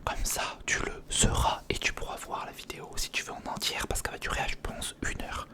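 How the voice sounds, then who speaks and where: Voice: brisk, pushed and bright. An ASMR creator narrating an unboxing in a whisper, speaking informally and intimately to the viewer. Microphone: sensitive recorder microphone, close.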